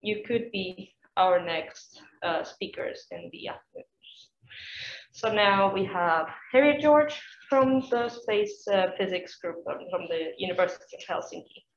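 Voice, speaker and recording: A woman's voice: mid-range voice, expressive, a little slow, slight background noise. A woman hosting a science talk event, introducing it hesitantly with fillers and self-corrections. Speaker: event host. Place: lecture room. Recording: echoey, muffled room recording.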